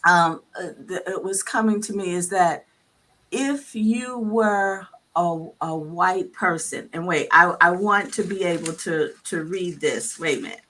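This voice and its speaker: Woman physician, bright and forceful tone, emphatic and earnest, checks in with listeners with "okay?" as she goes. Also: lowish voice, measured pace. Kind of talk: casual panel conversation.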